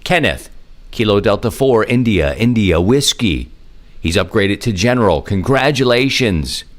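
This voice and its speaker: A man with a fairly low voice hosts a hobby podcast. He talks casually and informally, with folksy slang, as he runs through announcements. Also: lively intonation, normal speed. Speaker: podcast host.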